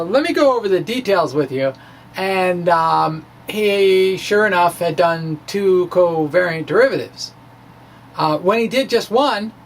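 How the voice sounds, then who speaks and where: A man's voice: on the high side, slight background noise. A man informally presenting his own science blog, wry and playful, often hesitating mid-phrase. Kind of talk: dramatic reading of a physics blog, informal explaining.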